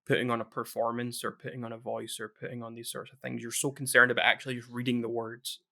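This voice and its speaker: A man chatting casually on a podcast at a middle pitch. He rambles along with frequent restarts and trailing thoughts. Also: little pitch movement, fast talker, gravelly, pushed and bright. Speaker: podcast co-host.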